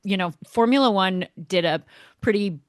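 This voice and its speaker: A woman's voice: mid-range voice, normal speed, dry recording, studio quality. A woman co-hosting a motorsport show, chatting casually about the sport. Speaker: motorsport podcast co-host.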